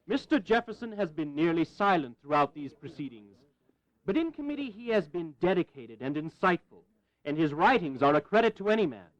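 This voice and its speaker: A dull, slightly lo-fi audio drama recording of a fervent colonial statesman. His delivery is formal and oratorical with a wry edge, and the pitch leaps around freely. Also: very animated, a little fast, no room sound. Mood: wry, approving. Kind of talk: dramatized historical audio acting.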